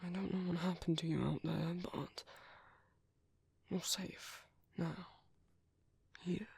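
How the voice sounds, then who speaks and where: A British man playing a gentle, protective vampire priest in an ASMR roleplay, speaking in hushed, hesitant, soothing whispers. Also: sits high, fairly even intonation, takes it slowly, vocal fry, slightly rough, no echo, heavily acted. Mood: gentle concern. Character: gentle, soft-spoken male vampire priest, protective caretaker.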